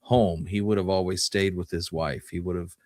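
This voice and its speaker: A man co-hosting a casual history discussion, thinking aloud in a halting way as he goes. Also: sits low, fairly even intonation, darkish tone, no room sound, clean studio sound. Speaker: podcast co-host.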